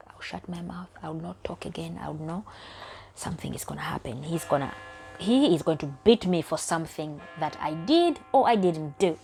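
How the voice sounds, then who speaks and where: A young woman from Uganda telling her own life story candidly in a personal vlog, often dropping to a near whisper. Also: deep voice. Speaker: vlogger.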